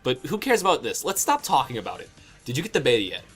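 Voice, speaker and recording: A man's voice: mid-range voice, wildly swooping pitch, fast, fairly bright tone, husky, soft background music, heavily acted. A man voicing a cool, sarcastic, foul-mouthed teenage boy with a dry, slangy manner in an amateur comic dub. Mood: nonchalant.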